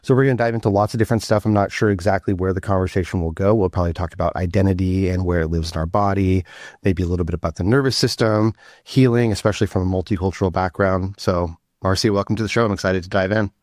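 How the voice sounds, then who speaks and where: Deep-voiced male podcast host. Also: steady tone, fast talker, darkish tone, no echo, studio quality. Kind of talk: casual interview hosting.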